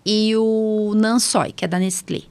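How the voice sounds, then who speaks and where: A woman's voice: medium pitch, flat delivery, measured pace, darkish tone. A Brazilian Portuguese-speaking woman doctor hosting a casual podcast, explaining things informally and often checking in with short tag questions. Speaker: podcast host, allergist doctor. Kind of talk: casual conversational medical podcast.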